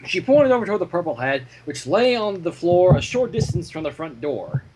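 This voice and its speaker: High-voiced, husky man narrating. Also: sing-song, fairly quick, a little mellow, poor audio. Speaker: fan fiction reader. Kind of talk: expressive story narration.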